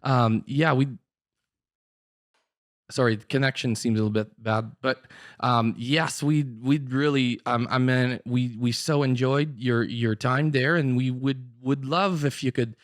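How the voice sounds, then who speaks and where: A man playing a fumbling French-Canadian pastor on a prank call, halting and apologetic, full of fillers and restarts. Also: mid-range voice, steady tone, no echo, studio quality, natural voice. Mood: hesitant. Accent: Québécois French.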